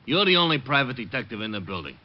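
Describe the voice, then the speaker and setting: An actor playing a menacing, slangy New gangster in an old-time radio crime drama. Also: male voice, medium pitch, sing-song, quick pace, natural voice. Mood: menacing, sarcastic. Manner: slangy, tough, sarcastic. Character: menacing gangster thug with a gun. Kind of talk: 1940s radio acting. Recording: dull, noisy old radio recording.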